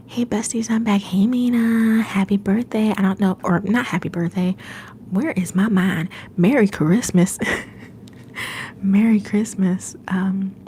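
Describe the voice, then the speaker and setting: A young woman hosting a livestream from home, whispering softly and affectionately to her viewers. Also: medium pitch, a little hiss or hum. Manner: soft whisper, affectionate and encouraging. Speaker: livestream host.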